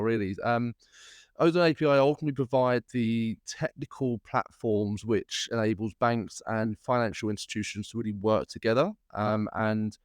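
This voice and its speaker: A man's voice: on the low side, no room sound, clean studio sound. A man hosting a podcast interview, upbeat and chatty, with frequent fillers and restarts. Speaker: podcast host. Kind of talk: casual interview chat.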